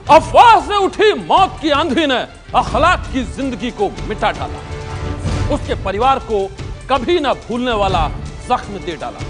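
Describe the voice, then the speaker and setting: Male crime presenter, theatrical and emphatic, hugely animated high voice, music underneath. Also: a little slow. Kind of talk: dramatic scripted news presentation.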